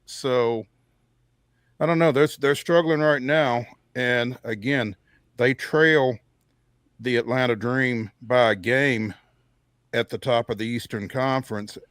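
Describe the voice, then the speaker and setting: A man co-hosting a sports radio show, talking casually and deliberately with pauses. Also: mid-range voice, a bit bright, no echo, studio quality.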